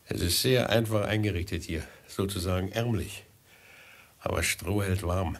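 A man's voice: sits low, moderate pace. An actor playing a gleeful, chatty hangman who fusses over his condemned prisoner with theatrical, cajoling affection in a 1950s radio play. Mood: sly, soothing.

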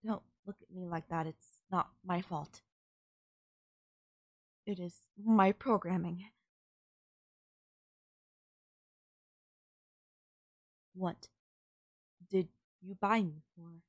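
Woman acting a slow, stilted servant robot girl. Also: fairly low pitch, fairly even intonation, no room sound, lo-fi sound. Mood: puzzled, questioning. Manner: formal, stilted, halting, literal delivery. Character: polite, puzzled, emotionless female servant robot. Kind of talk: scripted audio roleplay, ASMR.

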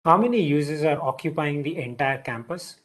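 A man's voice: a little high, animated, fairly quick, a bit bright. A young man giving spoken commands to a voice assistant over a video call. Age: young adult. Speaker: product demonstrator. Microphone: video call from a laptop.

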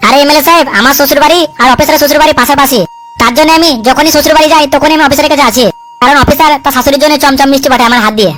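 A woman's voice: high voice, very fast, fairly bright tone, faint music behind. A woman voicing a wily, cheeky male sidekick in a comic cartoon, chattering, argumentative and coarse-mouthed. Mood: evasive. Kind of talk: comic cartoon dub dialogue.

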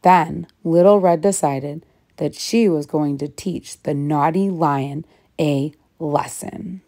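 Female children's storybook reader, slightly low pitch, very unhurried, emphatic on key words. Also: warm tone. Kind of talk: children's read-aloud narration.

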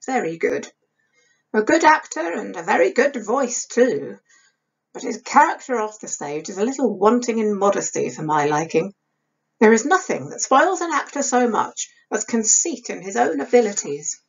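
A woman's voice: fairly high pitch, sing-song, moderate pace, gravelly, slightly rough, full character voice. A woman voicing a wry, teasing older male actor and husband, in dry formal period speech for a novel. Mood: musing, grudging. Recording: dull lo-fi webcam sound.

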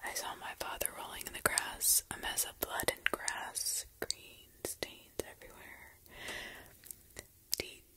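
A woman narrating a horror story entirely in a steady, hushed whisper.

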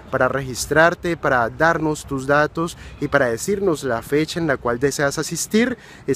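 A man's voice: a little high, a little hiss or hum. A man in his thirties, a finance teacher, earnestly promoting a seminar in a selfie video recorded outdoors, with some fillers. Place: outdoor city plaza. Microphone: phone camera held at arm's length.

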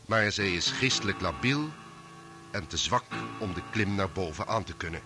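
A man's voice: low voice, expressive, normal speed. A man narrating a scripted, dramatic recap for a radio drama serial. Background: music.

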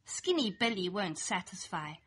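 A woman's voice: medium pitch, sing-song, moderate pace, breathy edge. A young adult woman reading a rhyming children's picture book aloud in a playful, sing-song storytelling manner. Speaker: storybook reader. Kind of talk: expressive read-aloud children's story in rhyme.

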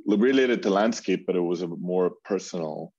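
A man's voice: low voice, normal speed, a little mellow, no room sound, dull audio. A man from Colombia, a furniture designer talking casually as an interview guest, rambling with many fillers and restarts.

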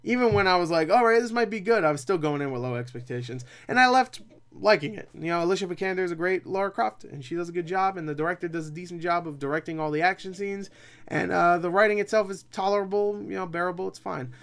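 A young man reviews movies in a voice pitched a little above average. He talks casually and without a script, rambling along with animated asides and a self-deprecating streak. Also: quick pace, smooth. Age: young adult. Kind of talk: casual unscripted review chat. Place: small cluttered room at home, indoors.

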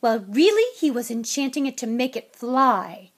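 A woman voicing a furious middle-aged wife and mother in a storybook, shouting and scolding in sharp accusation. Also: fairly high pitch, sing-song, moderate pace.

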